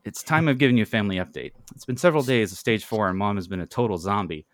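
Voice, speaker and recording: A man's voice: fairly low pitch, rapid-fire, clean studio sound, lightly acted. A man acts out a confident teenage girl in a sitcom story. She is earnest and wry, speaking with self-assured care. The sound is echoey.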